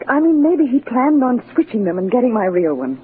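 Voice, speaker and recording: An actress playing a nervous, pleading society wife in a 1940s radio drama, flustered and hesitant. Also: female voice, on the high side, sing-song, fairly quick. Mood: anxious, pleading. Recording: muffled, noisy 1940s radio broadcast.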